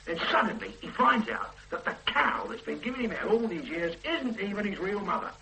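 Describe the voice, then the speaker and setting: A man's voice: high voice, big pitch swings, fairly quick, creaky, pushed and bright, slight background noise, full character voice, rough recording. An actor playing a stubborn, thoughtful male police detective in a radio drama, arguing his doubts about a case. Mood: musing. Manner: probing, doubtful, argumentative.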